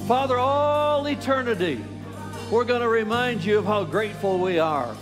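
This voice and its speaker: Older Southern preacher, high voice, fervent, big reverberant hall. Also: male voice, lively intonation, moderate pace. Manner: fervent, repeats praise phrases. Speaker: pastor. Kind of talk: prayer and sermon. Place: large church stage. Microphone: podium mic. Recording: long hall reverb with music.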